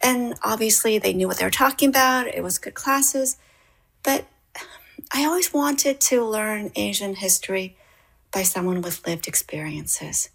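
A woman's voice: mid-range voice, lively intonation, a little slow, gravelly, a bit bright. A middle-aged woman from Toronto with a Canadian accent, telling a personal story as an interviewed playwright. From Canada, raised in Toronto.

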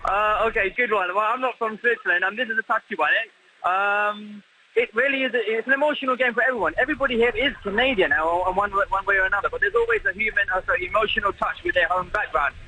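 British bar manager, quick and excited, phoned in from a packed noisy bar. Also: male voice, high-pitched. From Britain. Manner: excited, rambling with restarts. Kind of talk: live phone interview. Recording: phone line with noisy crowd behind. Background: crowded bar noise.

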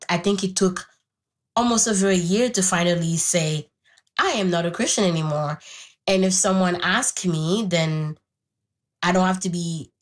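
Interview guest: Haitian-born woman in her twenties, Haitian Creole native, lowish voice, reflective and halting delivery. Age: 20s. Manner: thoughtful, pausing, with restarts. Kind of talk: reflective personal conversation.

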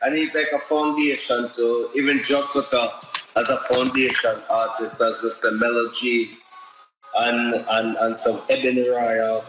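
A man's voice: mid-range voice, flat delivery, a little slow, echoey room, music in the background, low-quality recording. A man on the radio listing local artists, hesitant, with many fillers.

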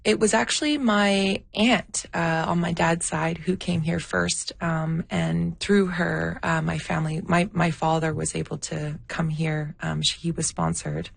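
A woman born in India, a documentary filmmaker, speaking thoughtfully as an interview guest with many pauses and fillers. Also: on the low side, fairly even intonation, gravelly, no echo. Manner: thoughtful, with frequent fillers and restarts. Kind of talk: interview conversation.

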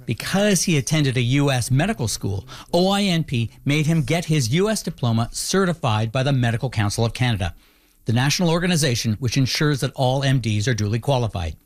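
Guy reading scripted radio doc narration, medium pitch, explains things in a measured way. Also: expressive. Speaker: narrator, host.

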